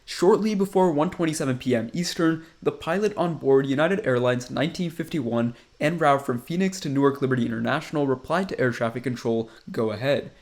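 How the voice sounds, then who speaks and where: A young man giving a scripted, measured narration for an aviation explainer. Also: medium pitch. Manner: measured, informative delivery.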